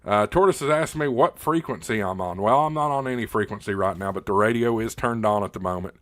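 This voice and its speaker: A man hosting a casual livestream, talking in a folksy, halting way with pauses, close up on a studio microphone. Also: on the low side, a bit bright. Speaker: livestream host of a ham radio channel. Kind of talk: casual livestream chat answering viewer questions. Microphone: close directional studio condenser microphone, spoken right up on it.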